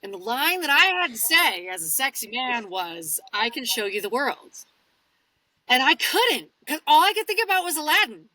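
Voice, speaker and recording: A woman chatting casually as an interview guest, telling stories in an animated way and acting out her own remarks. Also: high-pitched, normal speed, no room sound, low-quality recording. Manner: animated, self-quoting storytelling. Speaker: guest audiobook narrator. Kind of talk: casual podcast interview chat.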